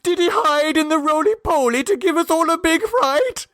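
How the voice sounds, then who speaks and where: A man voicing a fussy, doting mother in a high crooning falsetto with gushing baby talk. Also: animated, normal speed, fairly bright tone, no room sound, clean studio sound. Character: fussy doting middle-class mother, shrill.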